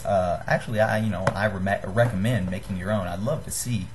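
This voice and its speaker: A young man giving a casual how-to demonstration to a webcam in a small room, halting and apologetic. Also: low-pitched, steady tone, heavy background noise. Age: young adult. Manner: halting, apologetic, self-deprecating. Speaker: hobbyist giving a homemade gadget tutorial. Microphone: webcam or computer microphone at desk distance.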